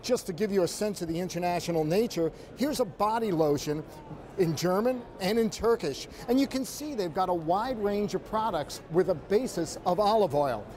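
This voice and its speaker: A man with a fairly high voice gives an upbeat walk-and-talk report from a busy exhibition floor. He sounds conversational and easygoing, and keeps turning to speak straight to the anchor. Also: expressive, moderate pace, a little mellow. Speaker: trade show floor reporter. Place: trade show exhibition floor.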